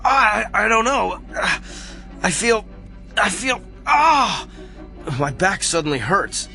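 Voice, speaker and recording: A man's voice: sits high, big pitch swings, slow, creaky, sharp tone, faint music behind, full character voice. A man acting in a radio drama, playing an arrogant, self-assured and brusque doctor. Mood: pained. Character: brilliant, arrogant, tactless male doctor. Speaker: actor.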